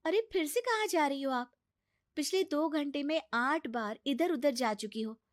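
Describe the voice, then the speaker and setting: High-pitched, nagging young daughter-in-law played by a woman. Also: measured pace, dry recording, clean studio sound. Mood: sarcastic warning. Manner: insistent, teasing, exasperated. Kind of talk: acted Hindi comic family story.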